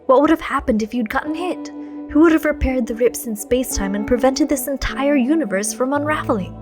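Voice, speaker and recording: A woman's voice: high-pitched, fairly quick, over music, voice pushed up, lightly acted. A woman voicing a hot-tempered, self-sacrificing teenage boy hero in story dialogue, taut and terse. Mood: bitter.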